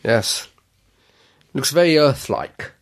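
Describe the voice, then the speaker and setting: A man's voice: mid-range voice, sing-song, slow, gravelly. A man co-hosting a fan commentary, chatting casually and laughing often. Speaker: podcast co-host.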